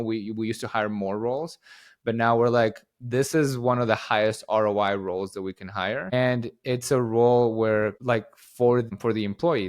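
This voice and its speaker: A young company head from Guatemala speaks a touch low in pitch. He answers at length in an easy, rambling way, and he keeps restarting his sentences and padding them with "like" and "uh.". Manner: rambling, many fillers and restarts. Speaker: company CEO, podcast guest. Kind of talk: casual interview answers.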